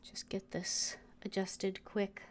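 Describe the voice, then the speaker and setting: A woman streamer talking casually to her viewers during a gaming stream, often pausing and restarting with fillers. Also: medium pitch, completely flat delivery, slight background noise. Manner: halting, with many fillers and restarts.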